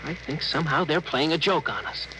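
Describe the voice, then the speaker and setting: An actor playing an earnest, respectful and slightly hesitant spaceship officer in a 1950s radio drama. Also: male voice, fairly high pitch, a little fast, sharp tone. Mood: earnest, puzzled. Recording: noisy vintage 1950s radio broadcast.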